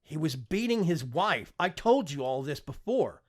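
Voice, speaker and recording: Male narrator as young rebellious prince, exasperated, argumentative, animated swoops, slightly rough-edged voice, audiobook. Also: fairly high pitch, a little slow, voice pushed up. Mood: indignant, pleading. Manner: indignant, argumentative. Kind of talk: audiobook character acting.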